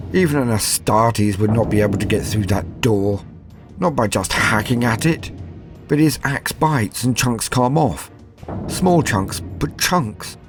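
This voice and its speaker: A man playing an arrogant villainous officer in a dramatic first-person horror tale, emphatic and theatrical with weighty pauses. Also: mid-range voice, lightly acted. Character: arrogant male villain officer sinking into dread.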